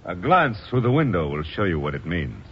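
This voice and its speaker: An actor playing a cold, scheming secret police villain with formal, menacing speech in 1950s radio drama style. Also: male voice, sits low, big pitch swings, fairly quick. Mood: cool, menacing. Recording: muffled, noisy old radio recording.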